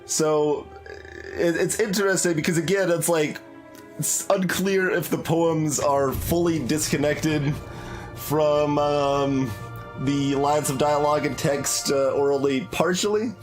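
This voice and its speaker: A man's voice: a little high, steady tone, slow, faint music behind. A man giving casual, analytical game commentary, hesitating and correcting himself as he thinks aloud. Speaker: streamer playing through a game.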